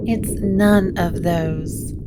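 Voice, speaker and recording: Woman playing a slow, hushed, dreamy gothic heroine. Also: medium pitch, fairly even intonation, heavy background noise. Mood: dreamy, soft. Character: quiet, assured, dreamy woman of gothic occult shop. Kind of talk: gothic audio drama acting.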